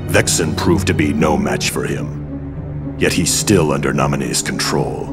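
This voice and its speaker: A man voicing a cold, commanding dark schemer in a video game, speaking in a grave, measured, ominous way. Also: a bass voice, creaky, loud music behind the voice, exaggerated voice. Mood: grave, calculating. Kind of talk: video game cutscene acting.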